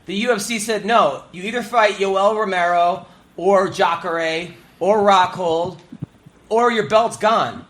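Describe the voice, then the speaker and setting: A male show host with a high voice talks in a laid-back, chatty way, cursing offhandedly. He sits in a studio, talking fights. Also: a little slow, a bit bright, rough recording. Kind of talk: casual sports talk show.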